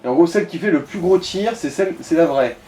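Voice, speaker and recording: Mellow-voiced man coaching through a video game. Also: fairly high pitch, expressive, quick pace, far from the mic, a little hiss or hum. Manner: informal, swearing, coaching. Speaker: let's play co-host guiding the player. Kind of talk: casual gaming commentary.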